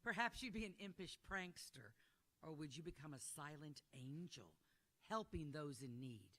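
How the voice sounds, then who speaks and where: Bright, animated woman presenter. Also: deep voice, normal speed. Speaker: presenter introducing the show. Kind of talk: scripted narration.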